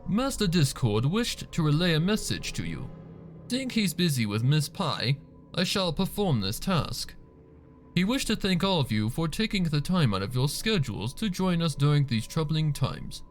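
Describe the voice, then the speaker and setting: A formal servant pony from a storybook reading, acted out by a man. The voice sits raised in pitch and speaks in ceremonious, announcing phrases. Soft music plays behind it. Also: very animated, moderate pace.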